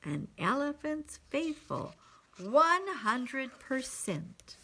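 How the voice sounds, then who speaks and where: A woman voicing a faithful, gentle male elephant in a children's storybook, speaking earnestly and with emphasis. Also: high-pitched, sing-song, very slow, pitched above the performer's own voice, exaggerated voice. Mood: sad resolve.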